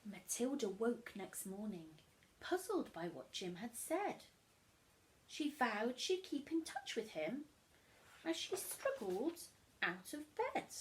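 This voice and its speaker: A woman reading a storybook aloud to children as a teacher would, animated and emphatic, talking directly to young listeners. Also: a little high, slow, slight background noise, rough recording.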